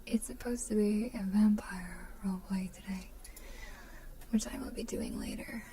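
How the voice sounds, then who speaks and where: A woman streaming ASMR, chatting casually in a breathy whisper close to the microphone in an unhurried, drifting way. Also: medium pitch, fairly even intonation, background noise. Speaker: ASMR livestreamer chatting with viewers.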